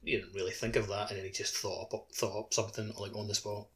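A man's voice: on the low side, flat delivery, fast talker, a bit bright, slightly rough. A man co-hosting a podcast, chatting casually about a video game. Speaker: podcast co-host.

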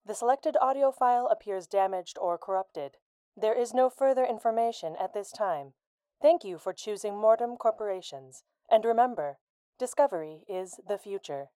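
Lady doing automated company computer announcement, impassive and polite, soft dark tone, totally dry sound. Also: mid-range voice, lively intonation, normal speed, no room sound, close to an everyday voice. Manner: impersonal, scripted, courteous. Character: impassive female corporate computer announcement system. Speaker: actor in a student audio drama.

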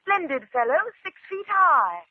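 A woman's voice: very high-pitched, sing-song, measured pace, no room sound, heavily acted. A woman playing a stage actress in a radio drama, declaiming quaint comedy lines in an exaggerated, projected theatrical manner. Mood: gushing. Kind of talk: 1950s radio acting of old stage delivery. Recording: noisy, narrow-band 1950s radio recording.

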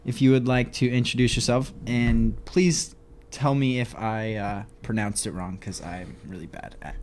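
A man's voice: medium pitch, normal speed, darkish tone, slight background noise. A young man hosting a casual podcast, opening the show and welcoming a guest in an upbeat way. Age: young adult. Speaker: podcast host. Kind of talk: podcast intro and casual chat.

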